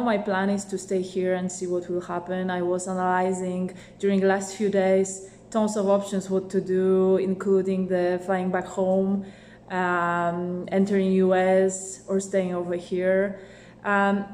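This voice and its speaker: A middle-aged woman giving a casual, hesitant video update to camera, thinking aloud as she goes. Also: mid-range voice. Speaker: motorcycle traveller. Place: small bare indoor room. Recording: roomy echo with steady noise.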